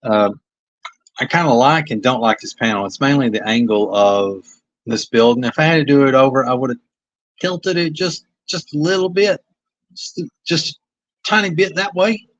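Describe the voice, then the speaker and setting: A man's voice: mid-range voice, normal speed, dull audio. A man with a Kentucky accent, a comic artist talking casually and self-critically about his pages on a livestream. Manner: rambling, self-critical, hesitant. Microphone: phone.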